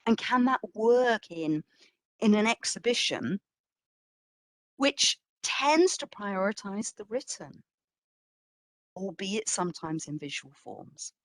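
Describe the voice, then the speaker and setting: A woman curator presenting a history talk with slides, speaking in measured, emphatic phrases and posing rhetorical questions. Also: mid-range voice, big pitch swings, no room sound, poor audio. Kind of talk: public lecture, presenting to an audience with slides.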